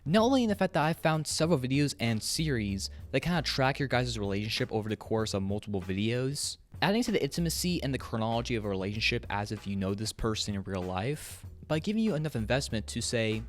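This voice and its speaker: Animated young man giving frank opinions. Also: medium pitch, fast, hardly any pauses. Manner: frank, profane, emphatic. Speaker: YouTube video essayist. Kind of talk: opinion video essay narration, conversational. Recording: music under the voice. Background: background music.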